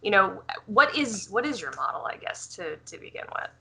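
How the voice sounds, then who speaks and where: A woman's voice: medium pitch, very animated, normal speed, gravelly, bright, forceful tone. A woman interviewing on a podcast in casual chat, feeling her way informally through meandering questions.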